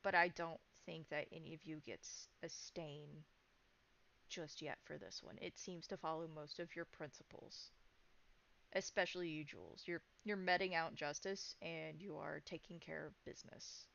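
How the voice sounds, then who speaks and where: Female game master, lowish gravelly voice, conversational storytelling with firm rulings, slightly dull stream sound. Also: steady tone, normal speed, a bit bright. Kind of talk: narrating and adjudicating a tabletop vampire game.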